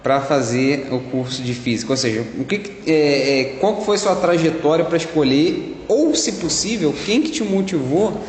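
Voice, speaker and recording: Male interviewer, mid-pitched, rambling questions, echoey room, long reverb. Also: expressive, normal speed, few pauses, darkish tone, slight background noise. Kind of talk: casual interview.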